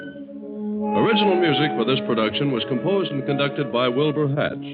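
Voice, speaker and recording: A man's voice: a little high, animated, normal speed. A man reading scripted credits as a 1940s radio network announcer. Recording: dull vintage radio recording. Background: loud music.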